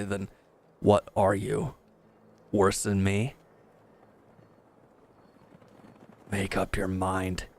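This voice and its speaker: A man's voice: fairly low pitch, slight background noise. A man playing a bitter, proud and resentful injured villain in a dramatic audio roleplay, speaking with sardonic, confrontational edge. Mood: angry, accusing.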